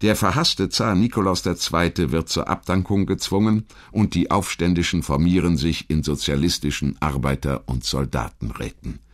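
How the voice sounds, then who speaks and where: A man reading a scripted historical narration in a measured, articulate storytelling manner. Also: low-pitched. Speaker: documentary narrator.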